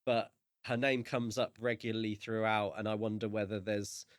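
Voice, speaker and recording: A man co-hosting a podcast, discussing a book in a casual, measured and reflective way. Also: on the low side, little pitch movement, clean tone, dry recording, studio quality. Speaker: podcast co-host.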